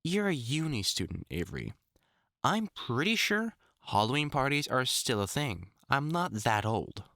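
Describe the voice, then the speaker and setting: A man's voice: medium pitch, big pitch swings, unhurried, creaky, bright, forceful tone, no room sound, exaggerated voice. A man playing an older, experienced vampire hunter in an audio roleplay, talking informally and reassuringly like a mentor, with dry jokes and mild swearing. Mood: casual, caring. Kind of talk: intimate one-sided audio roleplay, conversational acting.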